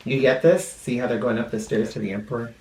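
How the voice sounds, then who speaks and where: A male teacher reading a picture book aloud to children expressively, pausing dramatically and explaining words in simple terms. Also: mid-range voice, steady tone, darkish tone. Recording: roomy, echoing room sound.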